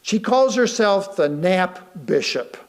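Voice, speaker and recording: A man reading a sermon aloud to a congregation, deliberately, pausing between short phrases. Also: sits high, expressive. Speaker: guest speaker reading a sermon.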